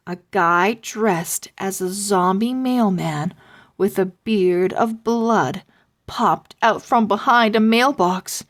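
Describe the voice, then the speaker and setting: Very slow, suspenseful woman storyteller. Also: mid-range voice. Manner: suspenseful, expressive. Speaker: storybook reader. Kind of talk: scripted children's story narration.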